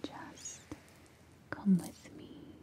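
Slow whispering witch, played by a woman. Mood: soothing, coaxing. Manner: slow, breathy, coaxing, intimate. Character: seductive, soothing female witch visiting in dreams. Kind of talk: ASMR roleplay, whispering and soft speaking. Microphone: close-up microphone, whispered ear to ear.

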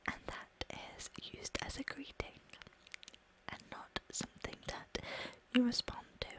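British girl whispering asmr style, super slow, repeats words softly. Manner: soft whisper, slow deliberate repetition of words. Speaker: ASMR creator.

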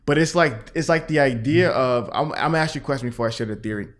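An American man in his thirties chatting casually as a podcast co-host, circling haltingly toward his point. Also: mid-range voice. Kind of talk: casual chat. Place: small indoor room at a table. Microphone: podcast microphone on boom arm.

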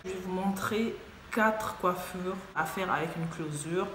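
A woman's voice: lowish voice, a little slow, a bit bright, roomy sound, a little hiss or hum. A young woman with a cold, chatting upbeat to her viewers in a hair tutorial. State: has a cold. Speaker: beauty vlogger. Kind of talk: casual hair tutorial talk to camera. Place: small room indoors.

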